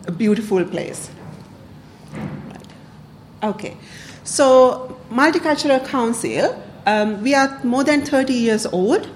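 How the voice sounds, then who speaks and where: A woman's voice: medium pitch, slight background noise, low-quality recording. A woman from Sri Lanka giving a public talk to a club, speaking hesitantly with many fillers and the odd laugh. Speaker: community council speaker.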